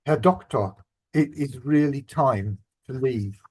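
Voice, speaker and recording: A man's voice: medium pitch, slow pace, darkish tone, husky. A man reading aloud the lines of an agitated caretaker who urges his employer to flee. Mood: urgent. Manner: urgent pleading, read aloud by a speaker. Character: anxious male caretaker urging escape.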